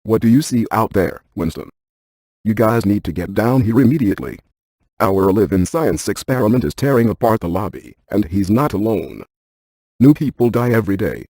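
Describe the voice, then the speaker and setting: A methodical male scientist for a paranormal video game. His voice is fairly low and notably husky. He speaks in a precise, technical, deadpan way, like someone who takes every measurement seriously. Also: normal speed, dry recording. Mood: methodical, instructive. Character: cerebral, methodical paranormal scientist, adult man. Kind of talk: scripted video game dialogue.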